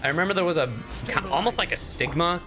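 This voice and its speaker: A man's voice: on the high side, quick pace. A man chatting casually and swearing freely as he live-streams a game. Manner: animated, sweary. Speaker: streamer and voice actor. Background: video game music.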